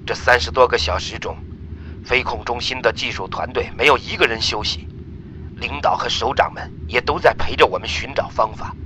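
A man's voice: medium pitch, normal speed, bright, forceful tone, a little hiss or hum, close to an everyday voice. A man playing an earnest, burdened space mission leader, pleading solemnly in a radio drama voice message.

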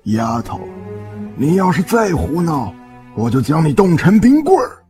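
An irritable elder stammers out an exasperated scolding, and the voice leaps widely in pitch, as an actor puts it on. Music plays underneath. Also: wildly swooping pitch, unhurried. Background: background music.

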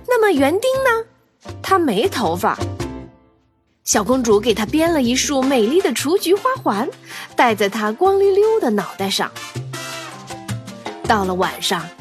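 A woman tells a children's story in a fairly high voice. Her narration is expressive, with big swings in intonation, over light background music. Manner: animated storytelling.

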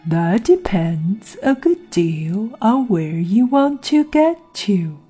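A sly storybook cat, with a very husky, breathy voice. The delivery is extremely slow and swooping, a deliberate drawl full of calm madness and riddles. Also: female voice, medium pitch, soft background music, full character voice. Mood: cryptic, unbothered. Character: sly, riddling grinning cat, calmly mad. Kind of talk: audiobook character acting.

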